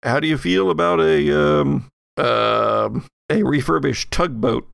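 A man narrating as game master of a role-playing podcast, halting and thinking aloud as he goes. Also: medium pitch, animated, creaky, a bit bright, dry recording, clean studio sound.

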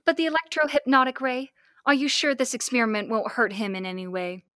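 High-voiced, earnest young heroine played by a woman. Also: steady tone, a little fast, pushed and bright, dry recording, studio quality. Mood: inquisitive. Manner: earnest, questioning. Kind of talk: old-time radio drama acting.

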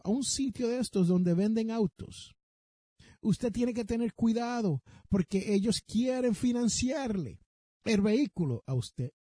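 Animated high-pitched Puerto Rican man, slow-paced. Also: husky, no echo, clean studio sound. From Puerto Rico. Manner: emphatic, rhetorical questions, addresses listeners directly; mixes in English terms. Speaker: personal finance podcast host. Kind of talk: podcast monologue giving money advice.

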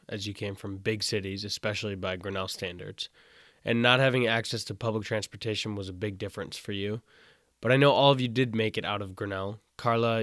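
Brief: young male interviewer, relaxed narration, dry recording. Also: fairly low pitch, dry recording. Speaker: student radio host.

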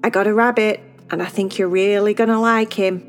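A woman's voice: medium pitch, fairly even intonation, fairly quick, faint music behind, natural voice. Woman doing eager cheerful boy Sid.

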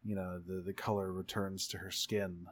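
A man playing a tabletop game, improvising his narration haltingly and searching for words as he goes. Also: sits low, little pitch movement.

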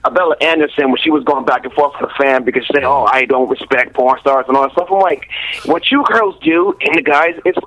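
Bright-voiced male caller, rambling. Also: mid-range voice, a little fast, rough recording. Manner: rambling, lots of likes. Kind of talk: phoning in a question.

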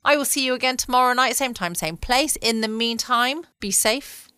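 A woman from England hosting a bedtime podcast from home, chatty and gentle, correcting herself as she goes. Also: fairly high pitch, normal speed, clear, resonant tone, no echo, studio quality. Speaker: podcast host. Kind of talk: casual podcast sign-off.

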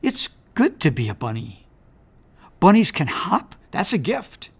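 A woman's voice: mid-range voice, very animated, a little slow, voice pushed up. Barrington, a woman doing a tearful little bunny. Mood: wondering. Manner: tearful, pleading. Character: small sad young male bunny.

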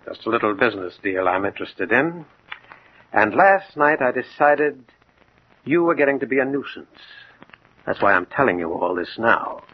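An actor playing a cold, self-assured gangster boss with blunt, matter-of-fact menace in a 1940s radio drama. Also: male voice, medium pitch, expressive, normal speed, close to an everyday voice. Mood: cool menace. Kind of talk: 1940s radio crime acting. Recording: muffled, noisy 1940s radio recording.

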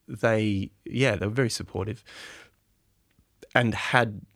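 A man, an actor born in Turkey, answering interview questions in a halting way with many fillers and restarts. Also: fairly low pitch, steady tone, creaky, a bit bright, no room sound, clean studio sound. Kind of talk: interview conversation.

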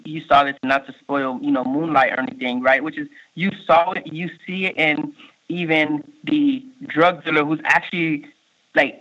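A man in his thirties, born in Haiti and raised in Miami, with a Miami accent, answering interview questions in a halting way, full of fillers and restarts. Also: a little high, bright, forceful tone. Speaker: state workforce official, guest. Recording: muffled lo-fi recording.

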